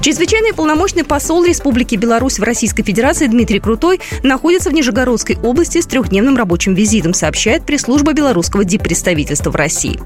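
Female newsreader, formal scripted delivery, brisk and animated, fairly high voice with music behind. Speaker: news presenter. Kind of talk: scripted newscast reading. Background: music bed.

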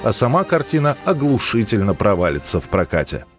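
A man's voice: fairly low pitch, big pitch swings, fairly quick. A man presenting a radio programme, reading scripted narration about past events in a studio. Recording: music bed under the voice. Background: background music.

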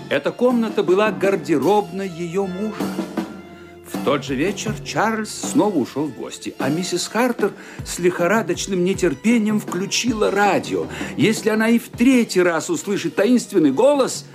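Animated, higher-pitched male radio drama narrator.

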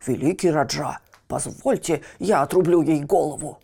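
A woman's voice: deep voice, normal speed, creaky, mellow, slightly rough, pitched below the performer's own voice, full character voice. A woman voicing a fierce but servile one-eyed male executioner in a children's fairy tale. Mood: eager, servile. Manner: obsequious to his master.

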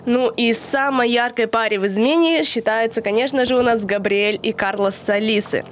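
Young woman explaining in a measured tone. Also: on the high side, a little hiss or hum. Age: early 20s. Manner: measured, lecture-like delivery with occasional hesitations. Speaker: podcast co-host presenting the theory segment. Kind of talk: explaining from prepared notes, then casual chat.

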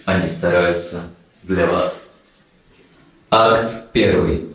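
A solemn theatre announcer in a heavily reverberant space, heard at a distance. His voice is low, and his delivery is formal and measured, as for an audio play. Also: a bit bright, full character voice. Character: solemn male theatre announcer introducing an act. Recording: very echoey hall, distant from mic.

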